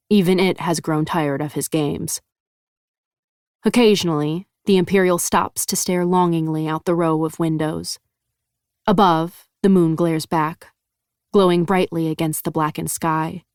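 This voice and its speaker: Low-voiced woman narrating an audiobook. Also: dry recording, studio quality. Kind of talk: scripted third-person fantasy narration.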